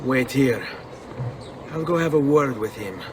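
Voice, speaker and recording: A man's voice: mid-range voice, lively intonation, unhurried, background noise, natural voice. An actor playing a cocky, charming young Italian nobleman in a video game, with an Italian accent. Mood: caring, protective. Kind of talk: video game cutscene acting.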